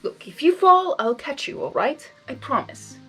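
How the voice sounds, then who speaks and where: Woman as male trickster creature for storybook reading: gallant, teasing, coaxing, higher pitched, big swooping delivery. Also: sing-song, normal speed, fairly bright tone, soft background music, poor audio. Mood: exasperated, reassuring. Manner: theatrical, coaxing. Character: teasing, gallant male draconequus trickster, doting on his date.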